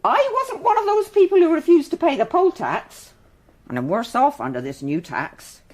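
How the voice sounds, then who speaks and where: An aggrieved British woman with a highish voice that swoops dramatically. She is fussy, persistent and nagging, in radio drama style. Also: sing-song, moderate pace, a little mellow. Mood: insistent. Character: indignant, nagging female British householder. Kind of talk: radio crime drama acting.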